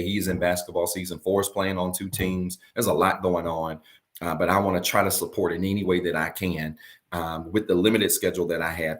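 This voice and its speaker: A man with a raspy morning voice preaching a livestreamed sermon as a pastor. He repeats his key points and keeps urging viewers to comment. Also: sits low, fairly bright tone.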